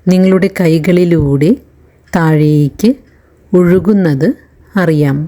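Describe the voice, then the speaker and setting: A woman leading a guided meditation in a calm, soothing narration, leaving long pauses between phrases. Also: deep voice. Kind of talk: slow guided relaxation narration.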